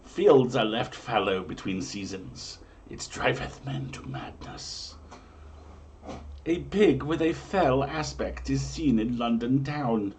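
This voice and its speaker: A man's voice: medium pitch, expressive, slow pace, darkish tone, slight background noise. Theatrical man reading to an audience. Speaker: livestream host and reader. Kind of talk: dramatic comic reading in mock-medieval English, then casual chat.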